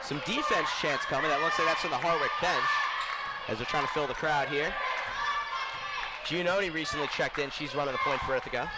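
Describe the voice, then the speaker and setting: A man giving live basketball play-by-play as the announcer, rising to a shout on big plays. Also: on the high side, fairly quick. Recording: noisy gym with court sounds. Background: basketball bounces and gym noise.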